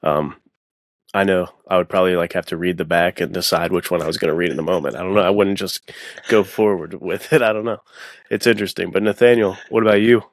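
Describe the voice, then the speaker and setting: A man hosting a podcast in casual conversation, with halting, informal, rambling phrasing. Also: sits low, steady tone, no echo, clean studio sound. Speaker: podcast host.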